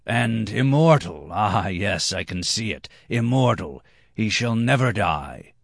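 A man voicing a drunken, boastful, impish nobleman who is quick to anger and speaks in emphatic declamation. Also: on the low side, animated, creaky, dry recording, studio quality, voice pushed up, exaggerated voice. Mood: playful, admiring.

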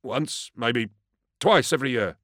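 A male narrator acts out a cringing farmer standing trial in an audiobook story. The voice is pitched up high, nervous and pleading, with an anxious, faltering deference. The sound is close and dry. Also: a little slow, a bit bright, dry recording, natural voice. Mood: nervous denial. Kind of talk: audiobook character acting.

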